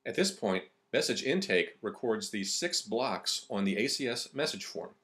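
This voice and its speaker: A man's voice: fairly low pitch, expressive, normal speed, fairly bright tone. A man reading scripted narration for an instructional video.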